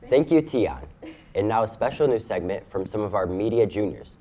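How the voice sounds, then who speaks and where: A teenage boy hosting a student news show, reading a steady script. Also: fairly low pitch, brisk, muffled recording, slight background noise.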